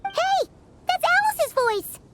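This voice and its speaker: A woman voicing a small, excitable, chatty fairy girl companion in a video game, with a squeaky childlike voice. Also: takes it slowly, faint music behind, full character voice. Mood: surprised. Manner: chatty, speaks of herself in third person.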